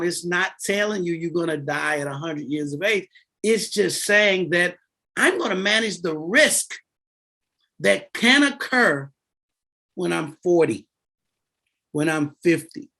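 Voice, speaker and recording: A man in his fifties, a guest on a podcast, explaining finance in an animated, emphatic way and repeating his key words. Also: sits high, takes it slowly. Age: 50s. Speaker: guest, insurance and debt author.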